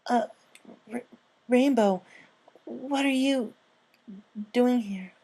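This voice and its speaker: A man's voice: very high-pitched, drawn-out words, warm tone, voice pushed up, full character voice. A man voicing a nervous young pegasus filly, an earnest little fan who stammers and hesitates, in a storybook reading. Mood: nervous, hesitant.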